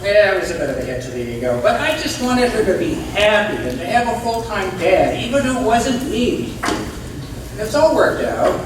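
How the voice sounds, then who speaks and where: Blunt middle-aged dad, high-pitched, echoey stage acted by a man. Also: very animated, normal speed. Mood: matter-of-fact, wry. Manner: blunt, coarse-spoken. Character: gruff, self-deprecating middle-aged father, former prison mentor. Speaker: stage actor. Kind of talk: live stage play acting. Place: indoor stage, recorded from afar. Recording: echoey distant stage recording with noise.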